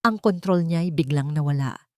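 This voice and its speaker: Woman narrator, lowish voice, dramatic audio drama read, dry studio-like sound. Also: normal speed, warm tone, no echo.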